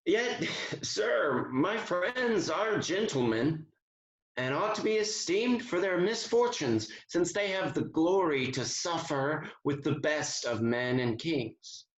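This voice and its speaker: An actor playing a courteous, loyal English gentleman in a classical stage comedy, speaking in a measured, earnest way, recorded with roomy, slightly muffled sound. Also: a little high, lively intonation. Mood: earnest polite. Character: courteous, loyal English gentleman defending his roving friends.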